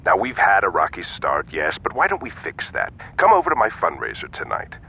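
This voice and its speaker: A man's voice: huge pitch swings, fairly quick, creaky, bright, forceful tone, exaggerated voice. A man playing an ingratiating, scheming older politician with genteel polish in a video game cutscene. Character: smooth-talking, scheming older male politician. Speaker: actor voicing a city alderman in a crime game. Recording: background rumble and noise.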